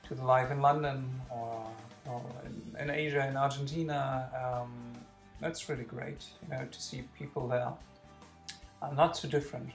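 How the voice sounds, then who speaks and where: A man's voice: mid-range voice, fairly even intonation, normal speed, faint music behind. A man, answering interview questions hesitantly and searching for words. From Germany. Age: 40s. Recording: echoey room.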